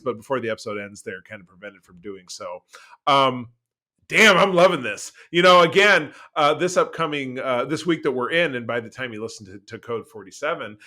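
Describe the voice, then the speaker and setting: A man co-hosting a podcast, reviewing TV in casual chat with an enthusiastic, emphatic and halting delivery that often repeats words. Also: mid-range voice, pushed and bright. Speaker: podcast co-host.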